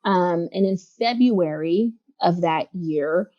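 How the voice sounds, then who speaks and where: A woman's voice: lowish voice, no room sound, highs cut off. Thoughtful woman in her thirties, dry sound. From Los Angeles. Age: 30s. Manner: reflective, halting, many fillers. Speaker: guest. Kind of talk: casual interview.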